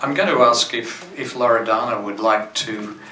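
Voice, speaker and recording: A man chairing a forum Q&A, brisk and informal. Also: medium pitch, roomy sound. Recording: loud crowd and noise, distant.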